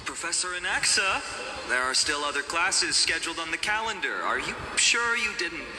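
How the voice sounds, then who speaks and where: A man's voice: a little high, sing-song, normal speed, background noise. An actor playing a young male student in a game, earnest with playful cheek, light and polite. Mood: cheeky, questioning. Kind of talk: game voice acting. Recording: loud music, echoey.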